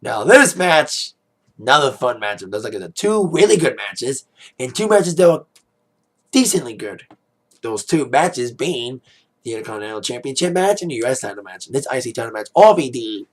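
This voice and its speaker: A man with a raspy, worn-out voice giving a casual spoken review, rambling with plenty of "like" and "uh". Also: mid-range voice, big pitch swings, moderate pace. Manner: rambling, heavy on "like", "uh" and "you know". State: hoarse, voice fading after a DJ gig. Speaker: wrestling pay-per-view reviewer.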